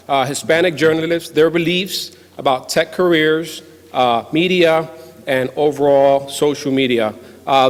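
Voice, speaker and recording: A man introducing a conference panel as moderator, speaking haltingly with pauses between phrases. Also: a little high. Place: indoor event hall with microphone. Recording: echoey hall, long reverb.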